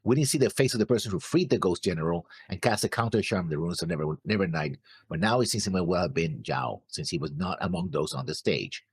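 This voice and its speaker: Very deep, gravelly, husky man. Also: lively intonation, fast, hardly any pauses, dry recording. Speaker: co-host of an anime reaction show. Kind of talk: reading prepared notes and discussing theories.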